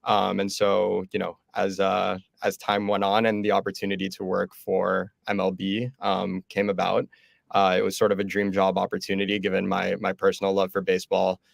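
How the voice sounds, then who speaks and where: A man in his thirties from Miami, giving relaxed, steady interview answers with the odd filler. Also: deep voice, normal speed, gravelly, no echo, clean studio sound. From Miami, Florida. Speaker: guest.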